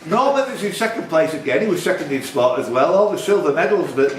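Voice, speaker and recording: A man's voice: fairly high pitch, lively intonation, fast talker. A man hosting a live pub quiz, reading questions and bantering, with asides that interrupt his own sentences. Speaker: quiz host, question reader. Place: indoors in a tavern. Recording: roomy pub, distant mic, faint noise.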